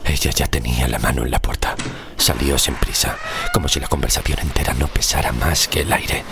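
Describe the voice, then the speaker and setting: An actor from playing a haunted, melancholy shipwrecked sailor who tells his tale in a literary horror audio drama, slow and solemn. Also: rumbling low, steady tone, heavy background noise. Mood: brooding, melancholy. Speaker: narrator and lead character. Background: music under the voice.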